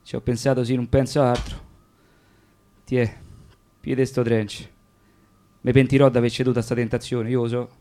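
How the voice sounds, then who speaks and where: A reluctant haggler played by a man in a radio play. He is guarded and teasingly dry, with a medium-pitched male voice. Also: level tone, normal speed, dark tone, slight background noise, lightly acted. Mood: guarded, haggling. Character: reluctant, hard-bargaining man selling his trench coat. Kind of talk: radio drama dialogue.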